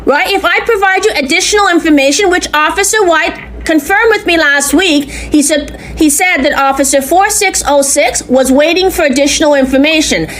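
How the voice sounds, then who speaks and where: A woman with a loud voice making a complaint to police over the phone, insistent and full of restarts and fillers. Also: sits high, moderate pace, hardly any pauses, a little hiss or hum. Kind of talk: heated phone conversation.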